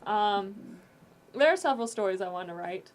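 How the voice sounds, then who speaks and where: A woman guest who writes the script, explaining her ideas in a thoughtful, measured way. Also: medium pitch, expressive. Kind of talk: casual chat.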